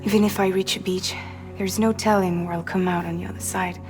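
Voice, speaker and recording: A woman playing an exhausted, wry transporter in a video game cutscene, frank and sometimes breathy. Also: lowish voice, fairly even intonation, a little fast, over music, lightly acted. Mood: weary, cautioning. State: weary.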